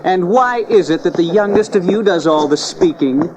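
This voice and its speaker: Officious steward, swooping pitch. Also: male voice, expressive, normal speed, a little mellow. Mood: flustered. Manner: pompous, stammering when challenged. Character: officious, flustered male palace steward, servile underling. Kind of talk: dramatized Bible audio acting. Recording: cassette drama with crowd noise.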